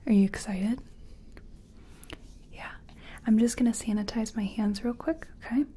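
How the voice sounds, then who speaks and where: A woman speaking in a breathy whisper and soft tones, gently chatting in an ASMR personal-attention roleplay as a makeup artist. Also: fairly high pitch, moderate pace, slight background noise.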